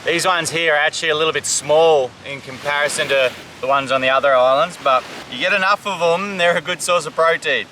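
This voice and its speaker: A man's voice: fairly high pitch, fairly quick, pushed and bright, slight background noise. A young man from Samoa, an enthusiastic survival vlog host explaining foraged food outdoors on a tropical island beach. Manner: upbeat, enthusiastic tour-guide talk.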